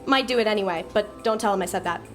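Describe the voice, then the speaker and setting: A woman playing an impatient, sharp-tongued young coder who talks bluntly and sarcastically. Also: mid-range voice, quick pace, music in the background, natural voice. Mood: irritated.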